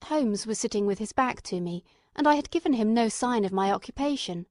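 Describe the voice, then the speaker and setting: A woman's voice: a little high, lively intonation, brisk, a little mellow, no echo, studio quality, lightly acted. A woman playing an earnest, eager male doctor and companion who narrates a detective audiobook in careful, literate storytelling. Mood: composed.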